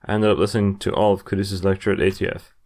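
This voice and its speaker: A man's voice: deep voice, little pitch movement, very fast, a little mellow. A young man doing a gaming playthrough, reading text aloud and reacting casually into a close mic. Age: young adult. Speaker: let's player. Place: indoors at a computer, webcam view. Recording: game music behind the voice. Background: game music.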